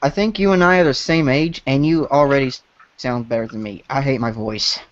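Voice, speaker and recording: A man's voice: medium pitch, a little fast. A teenage boy chatting casually on a group voice call. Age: teens. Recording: muffled internet call audio.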